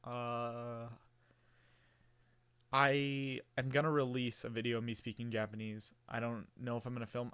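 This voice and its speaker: A man's voice: mid-range voice, fairly bright tone, clear, resonant tone, dry recording. A man in his early twenties talking casually to camera in a meandering, rambling way, with many fillers and restarts. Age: early 20s. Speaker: vlogger.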